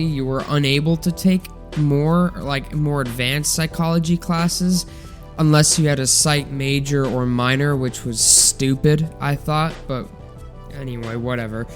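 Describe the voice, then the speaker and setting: A young man hosting a solo science podcast, chatting casually with a congested, nasal voice and lots of ums and restarts. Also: a little high. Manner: rambling, filler-heavy, relaxed. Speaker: podcast host.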